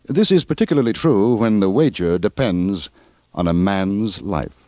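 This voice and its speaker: Low, grave Western radio narrator. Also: male voice, expressive, normal speed. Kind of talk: dramatic old-time radio narration.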